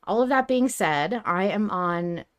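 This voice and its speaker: A woman's voice: medium pitch, expressive, measured pace. A woman hosting a casual fan discussion podcast, talking in a chatty, opinionated way. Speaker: podcast host.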